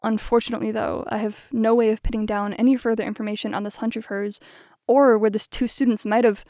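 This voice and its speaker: Brief: female actor as curious, uneasy archivist, higher-pitched, creaky, dry recording, audio drama log. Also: flat delivery, fast, mellow, no echo, lightly acted. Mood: absorbed, curious. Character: archive researcher PH Hollow, studious, curious, uneasy.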